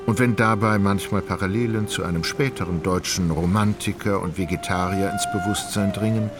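A man narrating a literary radio drama in a measured, wry manner. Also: low voice, steady tone, lightly acted. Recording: studio voice over clear music.

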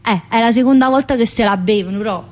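A woman's voice: a little high, fast talker, darkish tone, natural voice. An actress playing a chatty, wistful mother in prison, in a colloquial theatrical monologue with a Southern Italian, Neapolitan-tinged accent. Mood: playful, chatty. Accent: Southern Italian, Neapolitan-influenced. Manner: colloquial, addressing listeners with frequent tag questions.

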